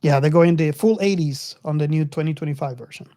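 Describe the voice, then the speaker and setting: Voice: medium pitch, brisk, dark tone. A middle-aged podcast co-host of unclear sex, chatting casually about films and giving opinions in a conversational way. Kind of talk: casual film discussion.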